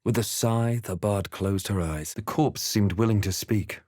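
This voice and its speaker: A brooding young adventurer from a fantasy video game. He speaks in a measured, dramatic but understated way, with wry gravity. The voice sits slightly low and the sound is very dry and close. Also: darkish tone, no room sound. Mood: grave, haunted. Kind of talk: video game character lines and narration.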